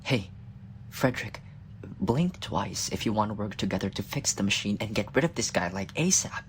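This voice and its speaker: A man's voice: lowish voice, expressive, normal speed, slight background noise, close to an everyday voice. A male voice actor playing an exasperated, sarcastic scientist in an ASMR audio roleplay, whiny and weary. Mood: flustered.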